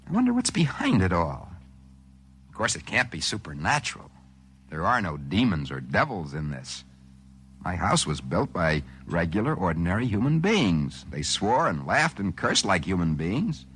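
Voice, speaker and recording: Excitable everyman narrator, bright voice. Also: deep voice, measured pace, a little hiss or hum, heavily acted. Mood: musing, pleased. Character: excitable ordinary man narrating his sudden good fortune. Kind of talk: 1950s science fiction radio drama acting. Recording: old radio show with music behind.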